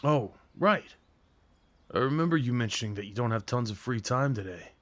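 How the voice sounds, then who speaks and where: A man acting as a big, gentle guy on a first date, in a mid-pitched voice that swings widely up and down. He is sweet and a little nervous, teasing playfully with boyish enthusiasm in an intimate ASMR roleplay. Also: animated, normal speed, dry recording, close to an everyday voice. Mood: sweetly nervous. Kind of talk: ASMR roleplay audio drama, one-sided conversation.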